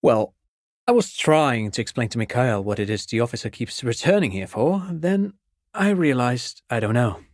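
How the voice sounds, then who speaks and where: An actor playing a chatty, courteous amateur academic in a video game, explaining things precisely like an eager enthusiast. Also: male voice, mid-range voice, expressive, normal speed, no echo, studio quality, close to an everyday voice. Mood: musing, polite. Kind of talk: game character dialogue.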